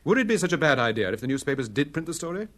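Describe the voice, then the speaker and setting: A man playing a gentleman detective in a radio drama, courteous, calm and probing. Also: on the high side, racing. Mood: firm, probing.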